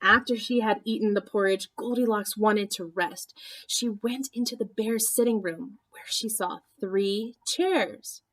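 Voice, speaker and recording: A woman narrates a children's storybook at a slow, deliberate pace. Her pitch is mid-range for a woman, and she tells the tale expressively, as a teacher reads to young listeners. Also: fairly bright tone, low-quality recording. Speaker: art teacher reading aloud to children. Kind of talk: storybook read-aloud narration with asides.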